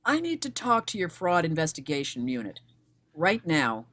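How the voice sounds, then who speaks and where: A woman's voice: low-pitched, very animated, normal speed, a bit bright, exaggerated voice. A woman acting as a determined, blunt female investigator in a screenplay table read. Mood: focused, urgent. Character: defiant, determined recently widowed female investigator, former accountant.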